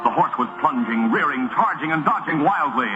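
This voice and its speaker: A man's voice: on the high side, animated, brisk. Theatrical American man narrating vintage radio drama. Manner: theatrical, solemn storytelling. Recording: muffled vintage radio recording.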